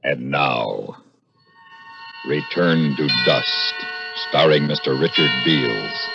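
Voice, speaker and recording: A man announcing a 1950s radio suspense show in a portentous, deliberate, dramatic style. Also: very deep voice, creaky. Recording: dull 1950s radio recording, loud music. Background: loud dramatic music.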